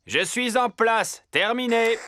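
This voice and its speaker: An actor voicing a brisk, kindly animal instructor in a French children's cartoon dub. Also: medium pitch, sharp tone, no echo, clean studio sound. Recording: music playing behind the voice.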